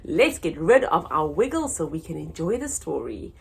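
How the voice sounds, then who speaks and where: A woman hosting a children's story time, reading a picture book aloud in an expressive, sing-song way for small children. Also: low-pitched, moderate pace, gravelly, slightly rough.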